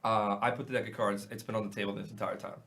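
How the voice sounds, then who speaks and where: Swearing, animated magician guest. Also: male voice, fairly low pitch, fast. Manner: animated storyteller, swears often. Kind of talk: casual podcast chat.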